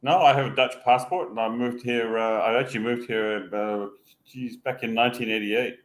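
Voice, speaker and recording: A man in his sixties, from Hong Kong, telling his life story as a podcast guest, halting often with fillers and restarts. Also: mid-range voice, steady tone. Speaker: guest, former sail designer. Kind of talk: casual podcast interview.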